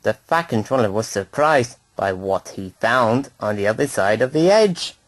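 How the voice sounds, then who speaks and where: A man's voice: fairly low pitch, expressive, normal speed, dry recording. A man reading a scripted children's story aloud as narrator.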